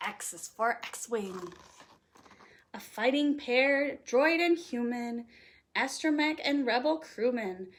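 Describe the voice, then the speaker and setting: A woman reading a children's picture book aloud in an animated, playful way, leaning on the rhymes. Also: on the high side, takes it slowly, slight background noise. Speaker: storytime host reading aloud.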